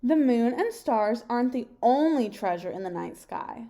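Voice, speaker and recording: A young adult woman reading a picture book aloud like a teacher, pausing and putting questions to her young listeners. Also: on the high side, animated, dark tone. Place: home kitchen, indoors.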